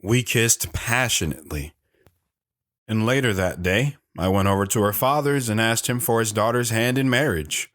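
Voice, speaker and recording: A man playing a low, plainspoken older storyteller. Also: moderate pace, fairly bright tone, no room sound, studio quality, faint music behind, natural voice. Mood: reflective. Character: older wandering storyteller, plain, reflective, world-weary man. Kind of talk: audio drama narration.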